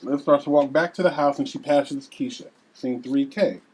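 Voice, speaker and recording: A man's voice: mid-range voice, normal speed, darkish tone, breathy edge, poor audio. A man narrating scene headings and stage directions at a script table read.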